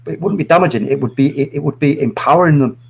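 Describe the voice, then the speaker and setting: A man's voice: a little high, quick pace, creaky, a little hiss or hum. Earnest man in his forties. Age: 40s. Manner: earnest, rambling, many restarts. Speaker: stream guest. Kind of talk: opinionated live chat.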